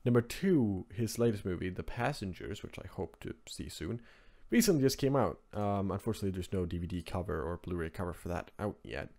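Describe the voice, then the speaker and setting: Male tutorial host from Norway, non-native speaker, lowish voice, informal and rambling screen-recording narration. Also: expressive, fairly quick, warm tone. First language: Norwegian. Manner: rambling, offhand, informal asides. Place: indoors at a computer, screen recording voice-over.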